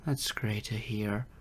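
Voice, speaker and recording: A man's voice: fairly low pitch, breathy edge, full character voice. A man playing an insecure, geeky adult silver fox in an audio roleplay, hesitant, stammering and self-deprecating. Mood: relieved, sheepish. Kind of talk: ASMR-style audio roleplay acting.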